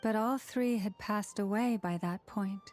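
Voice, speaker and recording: A woman's voice: mid-range voice, unhurried, a little mellow, faint music behind, lightly acted. An actress playing a studious young woman in an anime game, courteous and earnest. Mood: attentive. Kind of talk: anime game character acting.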